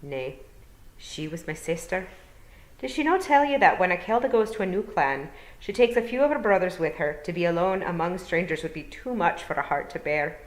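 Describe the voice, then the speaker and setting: Lady doing an old pixie bard guy for storytime, slow n polite, rolls his r's, wistful, echoey room. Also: lowish voice, expressive, voice pushed up, lightly acted. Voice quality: rolled R's. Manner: clear, slow, polite, formal.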